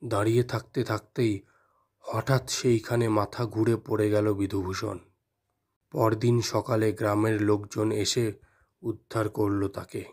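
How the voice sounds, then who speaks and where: A man narrating a scripted horror story in a measured, dramatic, suspenseful manner. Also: lowish voice, level tone, a little mellow.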